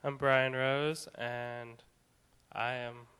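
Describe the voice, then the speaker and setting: Slow smooth-voiced young man. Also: mid-range voice, little pitch movement, pushed and bright. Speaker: music student.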